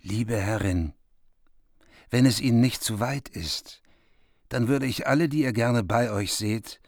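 A mighty king, gentle and accommodating, mid-pitched male voice with dry close studio sound. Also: measured pace, vocal fry, no echo. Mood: kindly. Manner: kind, accommodating. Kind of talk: radio play acting.

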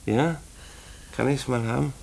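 A man's voice: medium pitch, unhurried, gravelly, darkish tone, low-quality recording. An actor playing a caring, awkward rural mailman who stammers and repeats himself, in a post-war German radio drama. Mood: kindly, awkward.

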